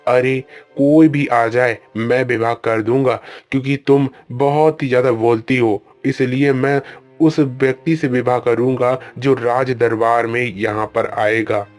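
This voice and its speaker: A man's voice: mid-range voice, fairly even intonation, measured pace, a little mellow, soft background music, natural voice. A man voicing a worried old king who pleads with his guru and scolds his daughter in a cartoon story. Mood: stern. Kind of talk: Hindi cartoon storybook voice acting.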